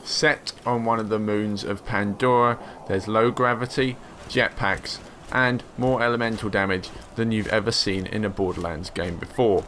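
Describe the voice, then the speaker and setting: A man narrating a game preview script in a smooth, upbeat way. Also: on the low side, fairly even intonation, normal speed, a bit bright, a little hiss or hum. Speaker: games presenter.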